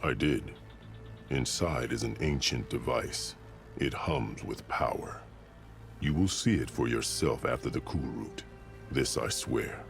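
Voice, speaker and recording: Very deep gravelly warrior chief. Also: male voice, full character voice. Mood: commanding. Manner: solemn, formal, measured, declarative. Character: stern, proud, commanding warrior chief of a tribe. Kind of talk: dramatic video game acting. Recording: loud music behind the voice.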